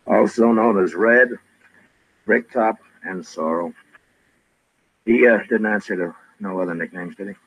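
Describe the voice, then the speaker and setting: A weary frontier marshal, an American man with a low, husky voice. He talks tersely and with dry irony, stern as befits an old-time radio western. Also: unhurried, long pauses, a bit bright, no echo, heavily acted, poor audio. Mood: businesslike. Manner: terse, dryly sardonic.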